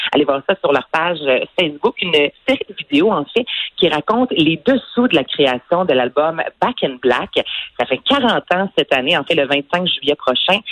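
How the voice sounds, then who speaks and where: Québécois lady doing the culture bit on radio, quick and chatty, dead dry mic. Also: lowish voice, fairly bright tone, no room sound, rough recording. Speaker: culture columnist.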